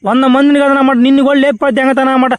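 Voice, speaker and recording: A man's voice: very high-pitched, flat delivery, racing, no echo. A man playing a boastful, hot-headed warrior hero in a parody cartoon, ranting and foul-mouthed. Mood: defiant boasting. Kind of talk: comic cartoon dubbing.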